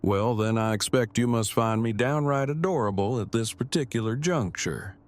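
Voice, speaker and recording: A man's voice: medium pitch, animated, normal speed, lightly acted. An actor playing a nervous, bookish man who speaks in formal, wordy, precise sentences in an audio drama. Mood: stiff, earnest. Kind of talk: fan audio drama acting.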